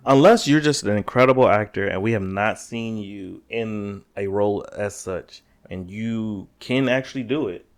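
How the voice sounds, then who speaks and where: A man's voice: on the low side, normal speed, hardly any pauses. A man co-hosting a casual gaming podcast, rambling with many restarts and blunt opinions. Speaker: podcast co-host. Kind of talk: casual chat.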